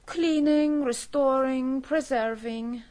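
An actress playing a gossipy, spiteful archaeologist's wife in a radio drama, insinuating and emphatic. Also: female voice, sits high, slow pace, darkish tone, dry recording. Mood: brisk.

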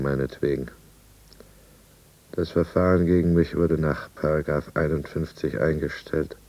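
Very deep, gravelly broken man. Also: mellow, exaggerated voice, rough recording. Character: broken, bitter middle-aged alcoholic businessman confined in asylum. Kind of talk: 1950s German radio drama, first-person narration. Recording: 1950s radio studio recording.

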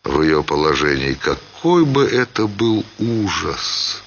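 Deep voiced guy narrating a classic story real slow, dramatic pauses, bit lo-fi. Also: big pitch swings, fairly bright tone, a little hiss or hum. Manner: measured, with deliberate phrase-by-phrase pauses. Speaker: actor reading a literary story.

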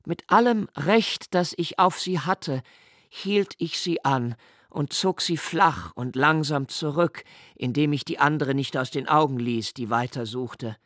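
A middle-aged man giving a quiet, measured, inward first-person narration in a literary radio play, recorded in a studio. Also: fairly high pitch, no room sound.

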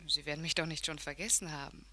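An actress playing a cool, insistent femme fatale in a crime radio drama, speaking in a poised, coaxing way. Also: female voice, sits low, fairly even intonation, quick pace, bright, forceful tone. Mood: persuasive, insistent. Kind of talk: 1970s radio drama acting.

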